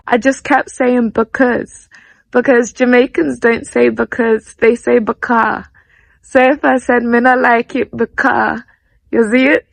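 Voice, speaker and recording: Young woman musing, with vocal fry. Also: fairly high pitch, dry recording, rough recording. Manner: chatty, reflective, laughs at herself. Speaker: vlogger and podcast host. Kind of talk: casual reflective monologue.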